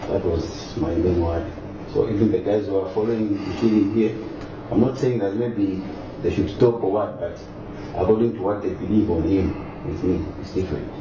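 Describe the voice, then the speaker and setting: Hesitant low-voiced man, distant and echoey. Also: normal speed. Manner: hesitant, many fillers, calm. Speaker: interviewee. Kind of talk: casual interview answers. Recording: distant echoey lo-fi recording with noise.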